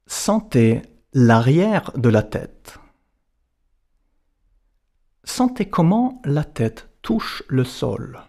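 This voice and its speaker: A man guiding a relaxation as a yoga and meditation teacher, giving measured instructions in short, separated phrases. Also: medium pitch, big pitch swings, dark tone. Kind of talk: guided relaxation narration.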